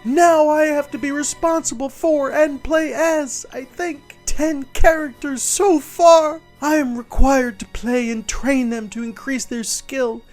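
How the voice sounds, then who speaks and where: A man's voice: very high-pitched, steady tone, a little slow, few pauses, faint music behind, pitched above the performer's own voice. A man voicing an exasperated gamer in a theatrical, pleading, overwrought dramatic reading. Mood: indignant. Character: exasperated gamer ranting to the point of hysteria. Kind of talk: dramatic reading of a written review.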